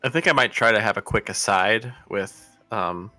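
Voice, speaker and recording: A man's voice: lowish voice, moderate pace, bright, forceful tone, no room sound, soft background music. A man playing a character in a tabletop game, sounding hesitant and stringing his lines together with "uh". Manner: hesitant, many "uh" fillers. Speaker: player.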